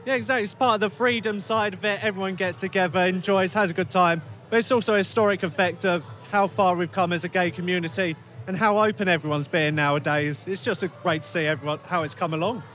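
A man's voice: high-pitched, steady tone, fast, a bit bright, slight background noise. A man from Essex talking in a chatty, reflective way at an outdoor festival. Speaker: festival goer.

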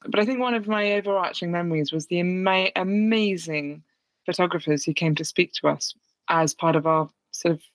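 A woman's voice: fairly low pitch, a little fast, no echo, poor audio. A British woman in her thirties, a guest on an interview show calling in, talking candidly and chattily with a self-deprecating touch. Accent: British English. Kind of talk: casual interview. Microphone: remote call.

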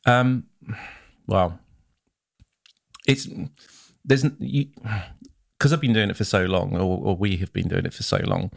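A man co-hosting a casual podcast, a working band musician who explains things in a relaxed way with dry teasing. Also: sits low, a little slow, vocal fry, pauses often, no room sound.

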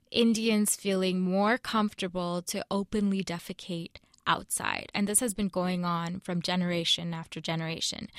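A young woman reporter answers questions in an earnest, explanatory way. Her voice sits at an ordinary middle pitch. The recording is dry and close, with no room around it. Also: a bit bright, no room sound. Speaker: correspondent. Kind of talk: news interview.

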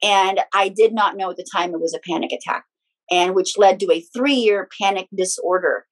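Middle-aged woman, talking openly and chattily as a guest on a podcast interview. Her voice sits at an ordinary pitch for a woman, neither high nor low. She tells her story in a loose, conversational way. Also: normal speed, low-quality recording. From Philippines. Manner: chatty, frank, personal anecdotes with small laughs. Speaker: guest, spiritual teacher and author.

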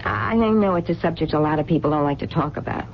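An actress playing a hopeful working-class waitress in a radio drama, with colloquial diction and an earnest, pleading way of talking. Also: female voice, sits low, lively intonation, quick pace. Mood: tender, hopeful. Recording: dull, noisy 1970s radio recording.

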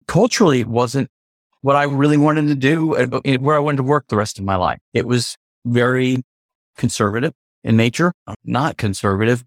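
A man's voice: mid-range voice, no room sound, studio quality. A man from the US East Coast, a podcast guest, talking earnestly and reflectively in a casual interview, stopping to add clarifications. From East Coast of the United States. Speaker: podcast guest, culture consultant.

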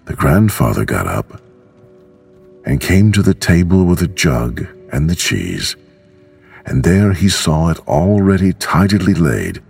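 A man with an extremely low, gravelly voice narrates a bedtime story. He reads gently and very slowly, with lively rises and falls in pitch. Music plays behind him. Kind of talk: slow scripted storybook narration for sleep.

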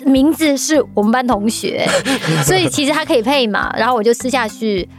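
A woman's voice: sits high, a little fast. A woman with a Taiwanese Mandarin accent chatting casually and telling stories in a playful, teasing way. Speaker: podcast participant talking about BL audio drama dubbing. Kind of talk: casual chat. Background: music.

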